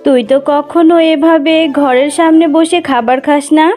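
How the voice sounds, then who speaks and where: A woman's voice: high-pitched, steady tone, normal speed, a little mellow, close to an everyday voice. A woman playing an inquisitive animal mother in a children's cartoon, speaking in a questioning way. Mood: puzzled, curious. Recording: music behind the voice.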